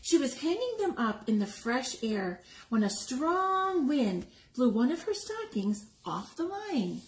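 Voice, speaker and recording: A woman reading a picture book aloud to young children, expressively, pausing to explain the pictures and ask questions. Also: sits high, sing-song. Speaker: story time reader. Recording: echoey room with background noise.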